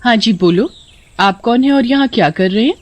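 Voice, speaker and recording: Sweet, high young forest maiden , voiced by a woman. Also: expressive, normal speed, a little mellow. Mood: polite. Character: sweet young forest sisters, gentle and agreeable.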